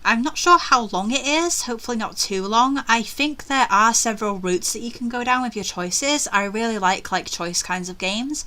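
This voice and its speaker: A young woman with a bright, forward voice on the high side. She hosts a let's play, reading a visual novel aloud and dropping in jittery, expressive asides. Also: normal speed, few pauses.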